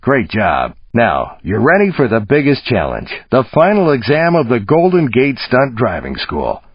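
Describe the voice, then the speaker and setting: Husky American stunt coach. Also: male voice, mid-range voice, wildly swooping pitch, unhurried, dry recording, heavily acted. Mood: encouraging. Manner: punchy, informal coaching. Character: folksy, tough, encouraging male American stunt coach. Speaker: stunt driving instructor character in a video game. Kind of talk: video game character voice acting. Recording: dull, dry game audio.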